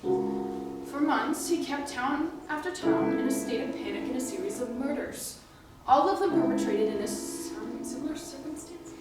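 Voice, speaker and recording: A woman playing a bold, quick-witted schemer in a stage musical, with a brassy, playful, theatrical delivery. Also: sits high, animated, over loud music. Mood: curious. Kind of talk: live stage musical acting. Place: staged reading before a live audience. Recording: echoey hall, distant mic, clear noise.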